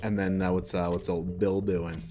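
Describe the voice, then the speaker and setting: A man casually narrating a tabletop game as game master, speaking in a halting, conversational way. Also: low-pitched, little pitch movement.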